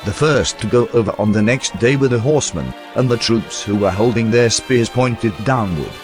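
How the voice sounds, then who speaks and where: A man reading a historical account aloud in a measured, storytelling documentary narration. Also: mid-range voice, over music. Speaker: reader of historical text.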